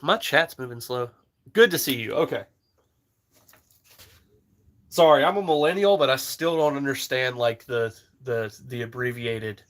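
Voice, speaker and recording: A man in his thirties talks loosely and easily, full of fillers and half-finished thoughts. His voice sits at an ordinary middle pitch, and he keeps a relaxed, chatty flow as he shoots outdoors. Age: 30s. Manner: rambling, easygoing, filler-heavy. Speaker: livestream host. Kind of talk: casual live-stream chat while target shooting.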